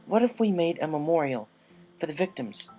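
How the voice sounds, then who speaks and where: A man's voice: fairly high pitch, sing-song, moderate pace, dark tone, soft background music, voice pushed up. A man voicing a loyal young female pegasus pony in a storybook reading, earnest, eager and casual in manner. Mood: earnest, hopeful.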